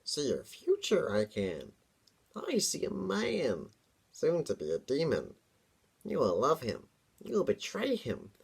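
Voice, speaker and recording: A man voicing a huge, menacing demon who prophesies doom with slow, theatrical delivery in a fantasy story reading. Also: mid-range voice, wildly swooping pitch, gravelly, breathy edge, pitched above the performer's own voice, heavily acted. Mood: gloating, ominous.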